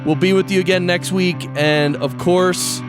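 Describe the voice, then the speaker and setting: A man's voice: sits high, steady tone, moderate pace, music in the background. A man hosting a podcast in casual, upbeat interview chat. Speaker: podcast host.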